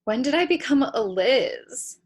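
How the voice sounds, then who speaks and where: Woman acting as a skeptical schoolgirl in a comedy play, blunt and incredulous, highish voice, really animated. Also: unhurried, exaggerated voice. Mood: indignant. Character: skeptical, indignant schoolgirl meeting her future self. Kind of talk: stage comedy acting in a table read.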